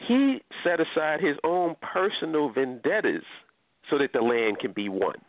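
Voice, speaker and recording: A man's voice: fairly high pitch, moderate pace, no echo. A man from Nigeria hosting a casual group discussion, talking in an emphatic, blunt way with some cursing. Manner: emphatic, profane, animated. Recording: tinny phone-like line.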